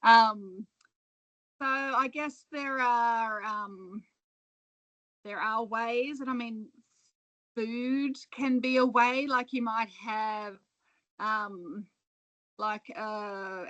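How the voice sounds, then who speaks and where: A woman guest on a video call, answering an audience question in a thoughtful, relaxed way. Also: a little high, slow pace, no echo, muffled recording. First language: not Dutch; Dutch is her second language. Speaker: guest speaker, fundraising expert.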